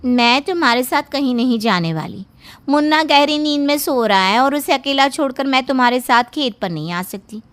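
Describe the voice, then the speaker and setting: A woman's voice: high-pitched, expressive, normal speed, fairly bright tone, soft background music. A woman playing an anxious, protective village mother in a horror cartoon story, with a fretful, pleading delivery. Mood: fearful refusal.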